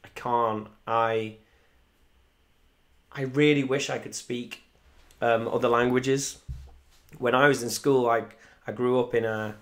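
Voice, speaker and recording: Chatty young man, flat tone. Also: mid-range voice, slow, pauses often. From Wales. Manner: chatty, rambling, reads viewer comments aloud. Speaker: musician hosting a live stream. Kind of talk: casual live stream chat. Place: small room indoors at home. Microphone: desk microphone in front.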